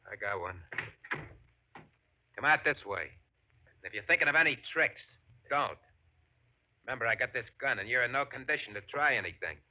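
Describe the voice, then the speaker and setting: A man playing a greedy, suspicious gunman in a 1940s radio drama, with gruff, pushy, clipped talk. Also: medium pitch, animated, normal speed, pauses often, bright, forceful tone, rough recording. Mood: wary, menacing. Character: greedy, suspicious, threatening male crook with a gun.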